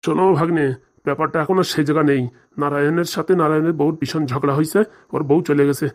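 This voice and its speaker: A woman's voice: low-pitched, level tone, fast talker, natural voice. A woman playing an elderly, sorrowful uncle in a comic cartoon, with a pleading, apologetic manner. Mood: aggrieved, resigned. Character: elderly, sorrowful, apologetic uncle begging his nephew's forgiveness.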